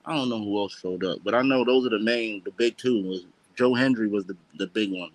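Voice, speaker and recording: A man's voice: lowish voice, fairly quick, no echo, poor audio. A man co-hosting a casual wrestling talk show, easygoing and slangy. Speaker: co-host.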